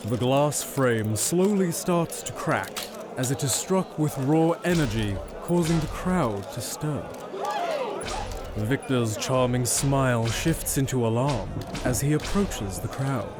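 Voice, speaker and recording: Mid-pitched male story narrator. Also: expressive, slow, over loud music. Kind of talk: scripted audio drama narration.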